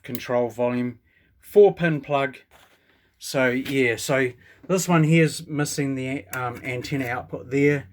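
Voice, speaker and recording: A man with a New Zealand accent giving a casual, hands-on show and tell, rambling and thinking aloud as he goes. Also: mid-range voice. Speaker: hobbyist radio reviewer. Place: indoors at a home workbench.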